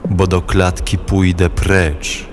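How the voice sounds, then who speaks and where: Man acting a very slow, brooding captive loner. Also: deep voice, level tone, a little hiss or hum. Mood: brooding, pained. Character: bitter, pained loner longing to escape confinement. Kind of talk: dramatic poetry recitation in an audio play.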